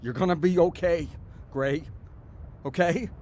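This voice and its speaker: An actor playing an earnest, emotional brother telling of his ordeal in a radio drama, with halting delivery. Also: fairly high pitch, a little mellow, slight background noise, close to an everyday voice. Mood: urgent, tender. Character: anguished, repentant brother narrating his blizzard ordeal. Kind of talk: radio drama acting and narration.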